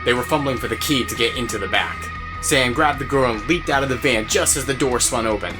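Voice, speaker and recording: A man reads scripted story narration for an audio drama. His voice sits at a medium pitch, with clearly audible guitar music behind it. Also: fairly quick.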